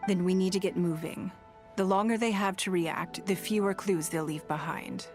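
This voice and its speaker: A woman plays a shrewd, calculating lady of high standing in a video game. The voice sits fairly low, elegant and authoritative, with music softly behind it. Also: steady tone, moderate pace, close to an everyday voice. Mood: thoughtful, decisive. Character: shrewd, commanding noblewoman, poised and calculating. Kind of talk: scripted video game dialogue.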